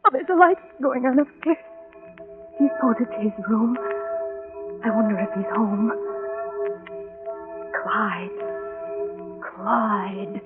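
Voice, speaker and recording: An actress playing a lovesick, obsessive young woman in an old-time radio drama, pleading with impassioned, bitter anguish. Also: a little high. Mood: yearning. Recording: tinny vintage radio recording with music.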